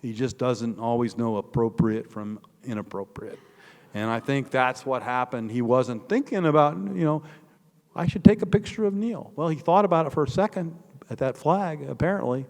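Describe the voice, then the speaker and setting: A man's voice: medium pitch, lively intonation, normal speed, darkish tone. An older man with an American Midwestern accent, a biographer telling stories conversationally as he answers an audience question, in an emphatic, digressive way. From northeastern Indiana, United States. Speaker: author and biographer giving a talk. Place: large indoor hall, public talk. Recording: echoey hall with long reverb.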